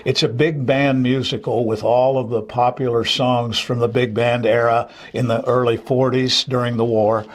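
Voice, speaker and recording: A man's voice: medium pitch, level tone, normal speed, few pauses. An older man speaking off the cuff as an interview guest, a theater director who reminisces with enthusiasm. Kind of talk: unscripted interview conversation.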